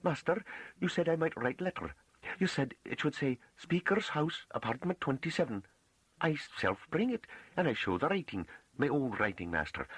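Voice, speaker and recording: A young peasant farm woman, played by a man reading a story, speaks in a raised, breathy voice. She is bashful and earnest with a fierce streak, and her rustic, broken phrasing rises and falls freely. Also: fairly high pitch, sing-song, moderate pace, a bit bright, no room sound, voice pushed up, full character voice, poor audio. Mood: bashful, frightened.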